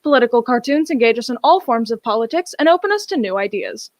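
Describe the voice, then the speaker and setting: A woman's voice: a little high, animated, quick pace, dry recording. A young woman giving a scripted announcement as host of an old-time style radio show.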